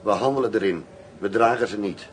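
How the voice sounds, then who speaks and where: A man's voice: fairly quick, close to an everyday voice. A man playing an unflappable, curt and commanding undercover agent in a radio drama. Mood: cool, defiant. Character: cool, defiant undercover agent posing as arms buyer. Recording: noisy radio play, vehicle rumble.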